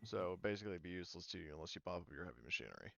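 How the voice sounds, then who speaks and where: A man's voice: sits low, expressive, rapid-fire, creaky, fairly bright tone, dry recording, lo-fi sound. A man acting as game master, explaining rules and thinking aloud, with many fillers as he checks things. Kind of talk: running a tabletop game online.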